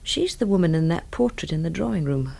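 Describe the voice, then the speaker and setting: An actress playing a flippant, hard-hearted young housekeeper in a radio mystery, talking bluntly and casually. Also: female voice, lowish voice, animated, a little fast, gravelly, mellow. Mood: blunt, unconcerned. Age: young adult. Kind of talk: radio mystery drama acting.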